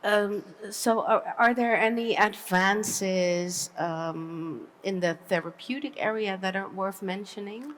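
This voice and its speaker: A woman's voice: mid-range voice, takes it slowly, hardly any pauses. A woman from the Netherlands interviewing a guest on a conference studio set, affirming often and searching for her phrasing.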